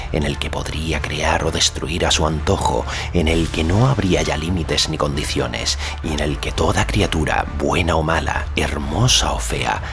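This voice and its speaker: A man narrating a fantasy audiobook in a scripted, dramatic literary storytelling style. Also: extremely low pitch. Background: faint music.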